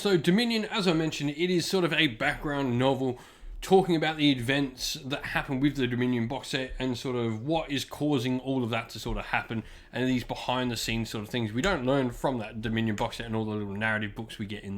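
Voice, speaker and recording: Man giving an informal book review. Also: mid-range voice. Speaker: book reviewer and channel host.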